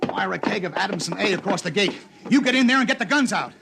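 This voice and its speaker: A gruff, hot-tempered frontier dad, in an old radio drama. His gravelly voice barks orders and swings widely in pitch. A clear hiss from the old recording runs underneath. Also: lively intonation, fast. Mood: urgent. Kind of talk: 1950s radio drama acting. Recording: hissy old radio transcription.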